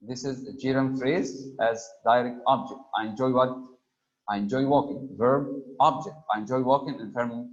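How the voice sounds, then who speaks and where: A man teaching a grammar lesson, reading example sentences slowly, stressing each item and pausing on frequent "uh" fillers. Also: medium pitch, fairly even intonation. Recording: dull lo-fi recording.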